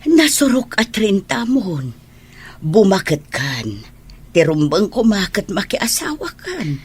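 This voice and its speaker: A woman with an Ilocano accent playing a worried older mother in a radio drama, husky-voiced. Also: medium pitch, big pitch swings, slow, full character voice. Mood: worried, pleading.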